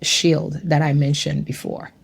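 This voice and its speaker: A woman from Haiti giving an earnest, measured personal testimony. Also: deep voice, little pitch movement, creaky, husky.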